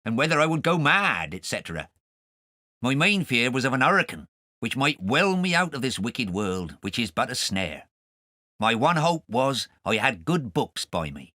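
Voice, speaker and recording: A man's voice: mid-range voice, bright, forceful tone, dry recording, clean studio sound. A man voicing a self-righteous, rambling sailor with a grudge, telling his confession in an audiobook. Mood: aggrieved. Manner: sanctimonious, aggrieved, rambling. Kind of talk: audiobook character narration.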